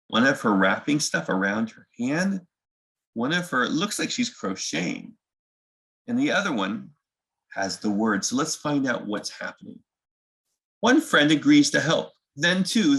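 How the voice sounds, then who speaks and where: A man reads a storybook aloud to children at an unhurried pace. His voice has some gravel and creak in it, and his pitch is lively. He speaks simply, as if explaining to young listeners. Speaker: children's read-aloud host.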